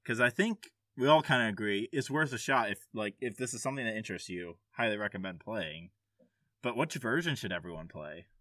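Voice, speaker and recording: A man's voice: fairly low pitch, expressive, a little fast, pushed and bright, dry recording, clean studio sound. A man hosting a podcast in casual, jokey conversation, swearing freely. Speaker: podcast host. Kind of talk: casual chat.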